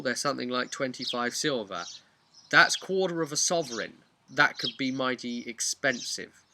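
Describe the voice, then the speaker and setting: A man giving an informal, animated, chatty explanation of tabletop roleplaying rules. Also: mid-range voice, slight background noise. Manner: animated, chatty, acts out imagined player remarks. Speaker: tabletop game master giving advice.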